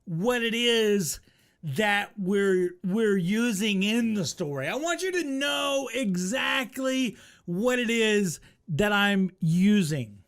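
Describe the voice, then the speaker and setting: A man's voice: high voice, expressive, slow pace, fairly bright tone. A man hosting a casual storytelling stream, speaking conversationally with some swearing and repeating himself for emphasis. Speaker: storyteller and host reacting to a video.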